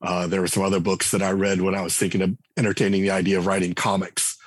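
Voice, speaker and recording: A middle-aged man, a game writer and designer, talking casually in an interview and telling relaxed stories with frequent restarts. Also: deep voice, fast, no room sound. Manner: relaxed storyteller, many restarts and fillers.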